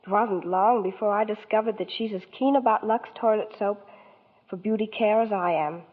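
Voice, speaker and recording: A woman's voice: mid-range voice, normal speed. A woman from New York, a guest starlet in a 1940s radio interview, speaking sweetly and politely. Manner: sweet, polite scripted chat. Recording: tinny 1940s radio broadcast.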